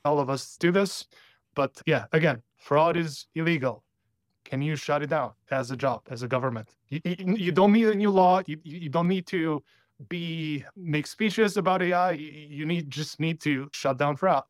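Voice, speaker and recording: A man's voice: a little high, normal speed, no echo. A man giving blunt, emphatic opinions as a panelist in a casual podcast discussion.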